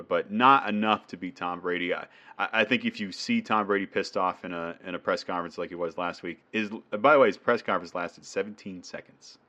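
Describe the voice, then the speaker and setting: A man's voice: fairly low pitch, a bit bright, highs cut off. A man with a New England accent co-hosting a casual sports podcast, chatty and laughing often. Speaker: podcast co-host.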